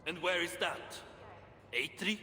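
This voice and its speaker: An actor playing a worried, cautious dwarf who counsels patience in a video game, with earnest, measured delivery. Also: male voice, high voice, sharp tone, full character voice. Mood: concerned, cautioning. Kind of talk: dramatic video game acting. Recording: long cavernous reverb, faint noise.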